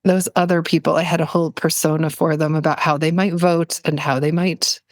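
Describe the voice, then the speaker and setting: Woman podcast guest, lowish pitch, dry sound. Earnest, reflective storytelling, feeling out her words as she goes. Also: flat delivery, a little fast, no room sound.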